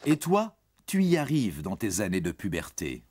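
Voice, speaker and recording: A man's voice: lowish voice, huge pitch swings, normal speed, a little mellow, slight background noise. A man reading scripted educational narration for children, explaining things in a reassuring way and speaking directly to the young listener.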